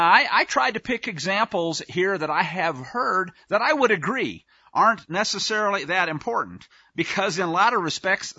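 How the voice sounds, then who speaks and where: Male preacher, high lively pitch, folksy sarcastic teaching, dry close sound. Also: breathy edge, no room sound, dull audio. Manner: folksy, conversational, sarcastic asides. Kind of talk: informal teaching sermon.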